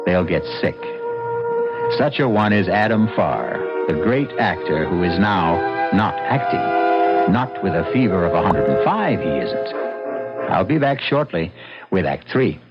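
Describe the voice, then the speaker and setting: An actor as a sardonic mystery show host, narrating an old-time radio drama with deliberate, dramatic, wry delivery. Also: male voice, sits low, very animated, exaggerated voice. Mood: wry, ominous. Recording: muffled vintage radio, loud music behind.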